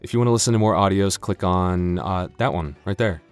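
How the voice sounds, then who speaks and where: A man's voice: low-pitched, a little fast, clean tone, faint music behind. A man chatting casually and comically to the listener as an audio creator, rambling playfully with mock bragging and self-interruptions. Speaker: audio roleplay creator and performer. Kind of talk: casual comedic outro chat addressed to the listener.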